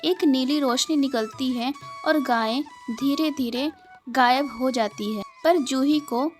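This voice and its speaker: A woman narrating a cartoon moral story in a gentle, scripted storytelling manner. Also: high voice, slow, faint music behind.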